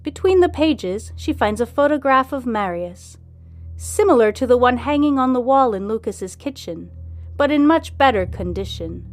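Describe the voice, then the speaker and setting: A woman's voice: a little high, expressive, moderate pace, faint music behind. A woman hosting and narrating a scripted serial story podcast.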